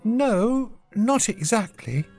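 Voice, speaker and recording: Narrator putting on a high, curious little girl. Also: lively intonation, slow, darkish tone, soft background music. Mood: curious, puzzled. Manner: inquisitive, earnest. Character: curious, chatty seven-year-old girl.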